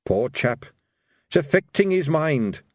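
A man's voice: on the high side, big pitch swings, a little slow, dry recording, pitched above the performer's own voice. A man voicing an earnest, dutiful male officer in an audiobook, sincere and well-meaning. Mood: worried. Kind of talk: audiobook character voice.

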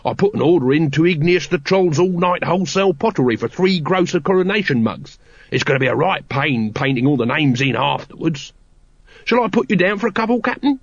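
A man's voice: on the high side, lively intonation, a little fast, breathy edge, dry recording, lo-fi sound, voice pushed up. A man voicing a wheedling, whiny male street sausage seller in a storybook reading, with sing-song sales patter. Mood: hawking.

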